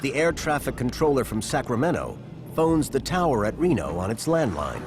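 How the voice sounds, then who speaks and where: Man narrating with lively, dramatic pitch swings. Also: normal speed. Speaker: documentary narrator. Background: background music.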